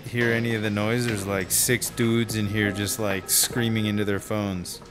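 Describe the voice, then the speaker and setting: A man's voice: lowish voice, flat delivery, moderate pace, natural voice. Man playing a rambling slacker screenwriter over music. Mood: casual, rambling. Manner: rambling, slangy, hesitant. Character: laid-back slacker screenwriter working in telesales. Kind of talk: naturalistic radio drama acting. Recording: music under the dialogue.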